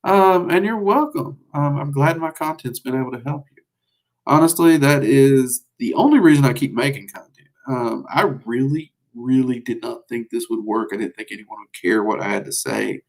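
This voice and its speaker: Southern US man, casual livestream host, chatty and folksy, middle pitch. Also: normal speed, gravelly. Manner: rambling and folksy, frequent fillers. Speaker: livestream host teaching magic. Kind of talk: casual livestream chat, answering viewers.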